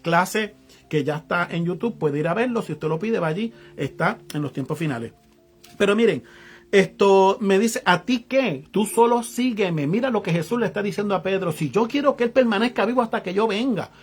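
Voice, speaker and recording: Man, Puerto Rican accent, preacher, reads verses then explains them casually, husky, faint background music. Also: sits high, lively intonation, moderate pace. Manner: reads verses aloud then explains them in casual local slang, greets online viewers. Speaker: evangelist preacher. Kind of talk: sermon reading and explaining Bible verses.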